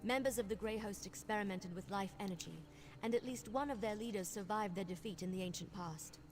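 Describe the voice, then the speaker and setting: An actress voicing a brisk, wry young vampire investigator in a fantasy video game, speaking with conversational confidence. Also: female voice, mid-range voice, faint music behind, lightly acted. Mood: matter-of-fact. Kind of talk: video game character dialogue.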